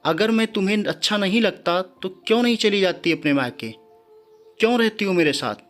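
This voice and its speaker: Domineering middle-aged storybook father, played by the male narrator, insistent, slightly high, light music bed. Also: lively intonation, normal speed, a bit bright. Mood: angry, taunting. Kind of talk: storybook character dialogue. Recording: background music under the voice.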